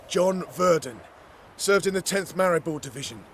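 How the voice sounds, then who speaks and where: An actor playing a rough, beaten-down army deserter in a video game, speaking coarsely and colloquially. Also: male voice, on the high side, lively intonation, measured pace, fairly bright tone, a little hiss or hum. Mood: weary, bitter. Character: rough, beaten-down male army deserter, plain-spoken and grateful. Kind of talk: video game character acting.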